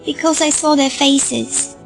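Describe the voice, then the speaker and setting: A woman's voice: high-pitched, fairly even intonation, unhurried, creaky, soft background music. A woman playing a frightened, earnest young girl in an audio drama.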